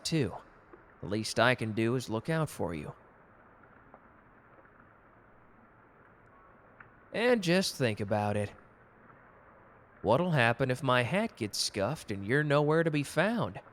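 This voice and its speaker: A man's voice: medium pitch, natural voice. A man playing a cocky, gallant and protective customer who flirts teasingly in a romantic roleplay audio drama. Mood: protective, sincere. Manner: suave, teasing, intimate one-sided conversation.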